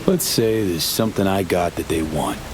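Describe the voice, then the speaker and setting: A low-voiced, streetwise game mercenary played by a man over clearly audible street noise. He talks in clipped, slangy fragments, blunt and skeptical. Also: moderate pace, close to an everyday voice. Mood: skeptical, impatient. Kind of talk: video game voice acting. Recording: noisy street ambience behind.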